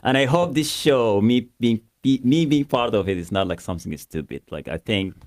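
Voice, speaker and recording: A man's voice: mid-range voice, normal speed, darkish tone, dry recording. A young man from Sudan with a Sudanese accent, chatting casually and joking about himself on a podcast. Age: young adult. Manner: laughing, joking, self-deprecating. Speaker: pastor, co-host.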